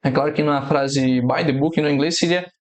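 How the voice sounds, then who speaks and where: A Brazilian man with a slightly higher voice walks through exam errors as an aviation English teacher. He explains step by step and slows down to quote radio phrases carefully. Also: fairly even intonation. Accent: Brazilian Portuguese, no fixed regional accent. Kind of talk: instructional explanation.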